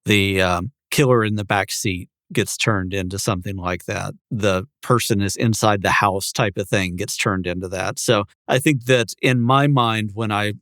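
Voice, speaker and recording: A man co-hosting a podcast in casual conversation, explaining things in a measured, wordy way. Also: fairly low pitch, fairly bright tone, no echo, clean studio sound. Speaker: podcast co-host.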